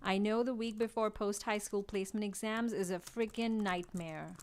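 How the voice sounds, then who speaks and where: A gentle woman in her twenties, played by an actress, reading her letters in a radio drama. Her voice is medium-pitched, with a reassuring, cautioning manner. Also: steady tone, normal speed, slight background noise, close to an everyday voice. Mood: gently cautioning. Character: gently discouraging twenty-six-year-old female lion tamer.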